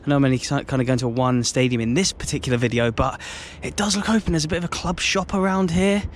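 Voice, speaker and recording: A man's voice: medium pitch, quick pace, slight background noise. An English man filming a football travel vlog, walking round a stadium outdoors and exclaiming about it to a handheld camera. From England. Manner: enthusiastic, exclaims often.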